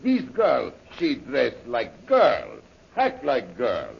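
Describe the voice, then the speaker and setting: Boastful henchman, raspy and swooping, broken English, muffled vintage radio serial. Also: mid-range voice, huge pitch swings, slow, exaggerated voice. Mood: eager, boastful. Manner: broken English peppered with French exclamations. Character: rough, fawning male French henchman, jealous and boastful. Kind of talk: 1930s radio adventure acting. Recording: muffled old-time radio recording.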